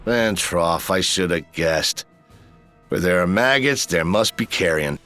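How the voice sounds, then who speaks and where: An actor playing a cocky, defiant army major in a sci-fi game, sarcastic and combative. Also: male voice, sits low, lively intonation, measured pace, sharp tone, soft background music, full character voice. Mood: scornful, defiant. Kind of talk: video game acting.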